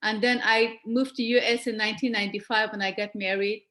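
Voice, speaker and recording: A woman's voice: mid-range voice, fairly even intonation, brisk, a bit bright. A woman in her forties, born in Afghanistan, giving an earnest presentation over a video call with small restarts. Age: 40s. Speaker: guest presenter. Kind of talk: webcast presentation.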